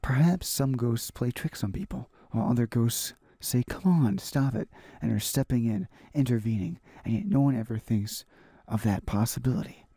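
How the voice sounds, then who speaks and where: A man hosting a gaming video, reading a visual novel aloud in a halting way, stumbling and repeating phrases. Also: mid-range voice, warm tone, breathy edge, no room sound, studio quality.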